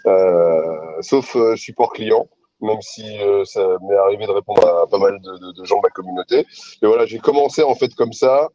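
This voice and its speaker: A man's voice: on the low side, moderate pace, dry recording. A man in his forties, born in New Caledonia and raised in France, chatting casually as an interview guest over a phone call from an outdoor terrace. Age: 40s. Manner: relaxed, chatty, many fillers. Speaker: interview guest, poker commentator. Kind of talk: casual remote interview chat. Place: outdoor terrasse, sitting on a pouf. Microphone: phone on a video call.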